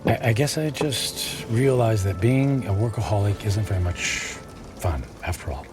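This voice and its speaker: A man's voice: fairly low pitch, lively intonation, moderate pace, close to an everyday voice. An actor playing a humbled, middle-aged ex-husband in a radio drama, apologetic and pleading, stammering as he goes. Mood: pleading, hesitant. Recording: music bed and rain behind.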